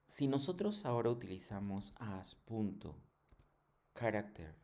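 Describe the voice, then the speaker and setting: A man giving a programming tutorial in a halting, didactic way, often checking in with short questions. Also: fairly low pitch, sing-song, vocal fry, a little mellow. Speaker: programming instructor. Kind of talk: screen tutorial lesson.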